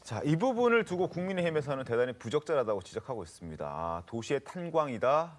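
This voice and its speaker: A man's voice: mid-range voice, very animated, fast talker. A man presenting a news talk show as its host, in a conversational broadcast style. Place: TV studio.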